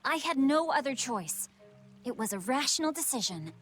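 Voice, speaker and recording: A woman voicing an earnest, spirited teenage village huntress in a video game, with expressive delivery. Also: high-pitched, moderate pace, soft background music. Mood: pensive.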